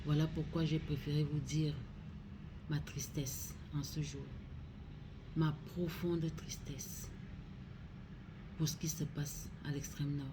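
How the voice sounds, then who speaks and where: Low, slow-spoken Cameroonian woman making an appeal. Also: level tone, background noise. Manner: grave, pleading, deliberate phrasing. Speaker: regional councillor making a public appeal. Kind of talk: solemn public address.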